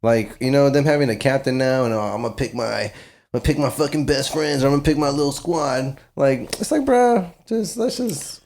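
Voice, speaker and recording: A man's voice: medium pitch, a little fast, darkish tone. A man co-hosting a podcast, rambling in casual chat and hedging his opinions. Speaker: podcast co-host.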